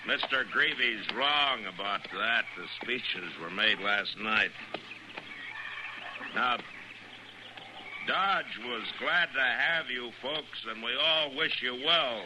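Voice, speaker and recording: Through clear vintage radio noise comes a steady American frontier marshal. His voice sits at a middle pitch. He speaks plainly, with folksy, measured authority, as in an old radio Western. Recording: noisy vintage radio recording.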